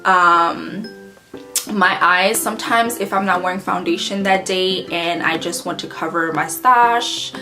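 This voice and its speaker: Woman vlogging about makeup, a bit of a haitian accent, lowish voice, rambly with lots of ums, guitar music playing under her. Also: a little slow, bright, forceful tone, rough recording. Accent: Haitian Creole. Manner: chatty, rambling, many ums and self-corrections. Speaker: beauty vlogger. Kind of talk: casual makeup product review. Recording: clear background guitar music.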